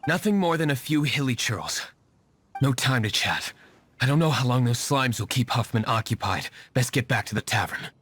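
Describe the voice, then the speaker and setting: A man's voice: medium pitch, expressive, normal speed, a bit bright, breathy edge. A man voicing a stern, reserved young tavern owner in a video game, curt and dry. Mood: brisk. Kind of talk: video game dub acting.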